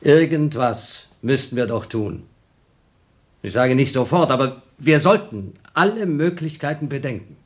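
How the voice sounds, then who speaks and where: A man's voice: medium pitch, lively intonation, a little slow, natural voice. A man playing a restless, cynical astronaut in a radio drama, with a sardonic, needling, conversational manner. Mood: persuasive, probing. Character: restless, cynical male astronaut, slyly persuasive.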